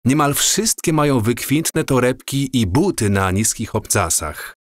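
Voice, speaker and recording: A man playing a dreamy, awestruck wanderer in an audio drama, with a measured, wistful, descriptive delivery. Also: mid-range voice, animated, no echo, clean studio sound, close to an everyday voice. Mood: quietly amazed.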